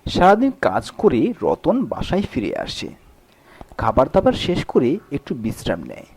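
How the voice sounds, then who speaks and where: A man's voice: medium pitch, huge pitch swings, measured pace, darkish tone, slight background noise. A man reading scripted narration for a cartoon fairy tale as the storyteller.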